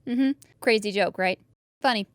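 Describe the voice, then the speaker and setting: A woman's voice: medium pitch, normal speed, no room sound, natural voice. A woman acting a level-headed, skeptical young woman in a comedic audio drama, dry and questioning. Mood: dryly skeptical.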